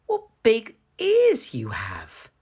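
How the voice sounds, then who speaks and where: A woman's voice: fairly high pitch, huge pitch swings, very slow, mellow, slightly rough, highs cut off, pitched above the performer's own voice, full character voice. A woman voicing a sweet, trusting little girl in a storybook, wide-eyed and earnest. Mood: wondering.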